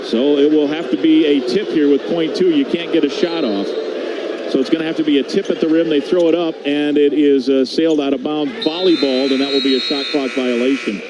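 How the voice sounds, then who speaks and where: A man's voice: fairly high pitch, steady tone, brisk. An American man doing live radio play-by-play basketball commentary from an arena booth. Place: broadcast booth in a sports arena. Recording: clear background noise from arena broadcast.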